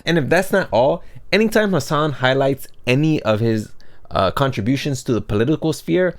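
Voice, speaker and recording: A man's voice: medium pitch, expressive, normal speed. Man narrating casual video commentary.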